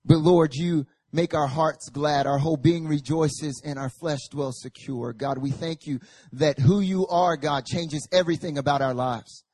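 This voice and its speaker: Young male pastor, hoarse and raspy, relaxed joking turning to loud fervent prayer, dry close sound. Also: a little high, a little slow, hardly any pauses, dry recording. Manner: casual and joking, rising to loud fervent Scripture reading and prayer. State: hoarse, recovering from a chest infection. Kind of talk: sermon. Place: church service.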